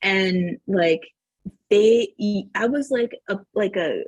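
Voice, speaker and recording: A woman from Southern New Mexico whose first language is Spanish, telling family stories as a podcast guest in an animated way, laughing often and saying "like" a lot. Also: mid-range voice, takes it slowly, slightly rough, no echo. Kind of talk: casual interview storytelling.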